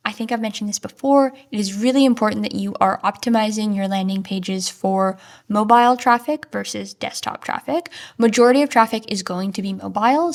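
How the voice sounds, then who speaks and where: A woman hosting a solo marketing podcast, talking in an upbeat, chatty way with frequent casual fillers. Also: medium pitch. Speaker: podcast host.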